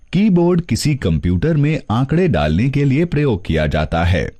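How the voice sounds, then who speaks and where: A man reading scripted instructional narration, explaining things step by step in a measured teaching manner. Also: medium pitch, dark tone. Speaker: narrator of a computer course.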